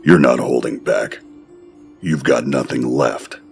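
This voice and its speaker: Grim masked vigilante, voiced by a man: extremely deep, slow, terse and cold. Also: vocal fry, soft background music, exaggerated voice. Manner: terse, clipped, flat menace. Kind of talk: fan dub of a motion comic.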